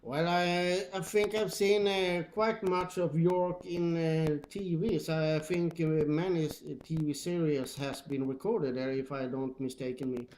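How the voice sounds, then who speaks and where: A man with a Swedish accent, speaking English as a second language, chats casually and haltingly as a radio hobbyist. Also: a little high, steady tone, heavy background noise. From Sweden. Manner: halting, hesitant. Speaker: CB radio operator. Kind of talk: casual two-way radio chat. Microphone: old radio microphone.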